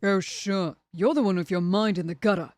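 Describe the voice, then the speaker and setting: A woman acts out a stubborn female assassin in an audio drama. She sounds exasperated and blunt, with an aloof, dismissive edge. Her mid-pitched voice is captured close and dry. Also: normal speed, a little mellow, dry recording, lightly acted. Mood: indignant. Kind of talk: ASMR audio roleplay acting.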